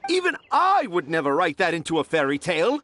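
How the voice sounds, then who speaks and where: A dramatic storyteller dad. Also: male voice, a little high, brisk, slight background noise, exaggerated voice. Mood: disbelieving. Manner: theatrical, excitable, insistent. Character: fanciful middle-aged storyteller father, stubborn dreamer. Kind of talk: video game voice acting.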